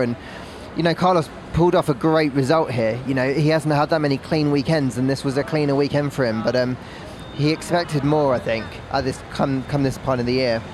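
Higher-pitched male racing pundit. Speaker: motor racing pundit and reporter. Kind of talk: conversational race analysis. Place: outdoors in a race paddock. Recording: faint outdoor background noise.

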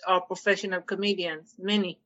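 A woman's voice: fairly low pitch, fairly quick, dull audio. A woman from Israel with an Israeli accent, a stand-up comedian chatting in an interview, laughing often and joking about herself. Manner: laughs often, tells jokes in a relaxed, self-mocking way. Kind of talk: casual interview chat.

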